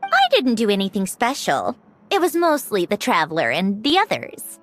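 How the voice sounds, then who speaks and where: Bright, swooping haughty young lady. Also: mid-range voice, wildly swooping pitch, moderate pace, faint music behind. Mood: modest, flustered. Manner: haughty, easily flustered. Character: proud, flustered young lady inventor, secretly soft-hearted. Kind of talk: video game voice acting.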